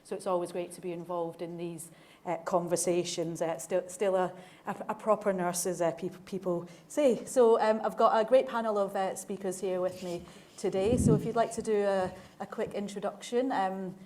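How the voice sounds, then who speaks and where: A woman in her forties chairs a live panel, sounding chatty and a little jokey. Her voice sits at a middle pitch, and her talk is loose and unscripted, full of small fillers. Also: fairly even intonation, normal speed, warm tone. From not from Manchester. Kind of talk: hosting a panel discussion.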